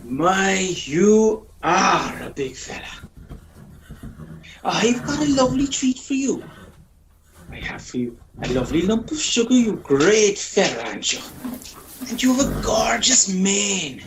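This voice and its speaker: A man playing a scheming, excitable Irish countryman in a radio play, talking in a colloquial, cajoling way. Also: sits high, a little slow, gravelly, background noise, heavily acted. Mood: coaxing, sly. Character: scheming, excitable Irish countryman sneaking about a horse farm. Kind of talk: radio play acting and first-person storytelling.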